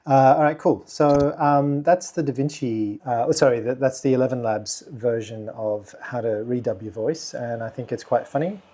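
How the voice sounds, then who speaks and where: Quiet, mellow-voiced man thinking aloud. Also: mid-range voice, moderate pace, hardly any pauses. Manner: muttering while working, thinking aloud with many fillers; speaking quite quietly. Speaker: video tutorial host. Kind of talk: casual screen-recording tutorial.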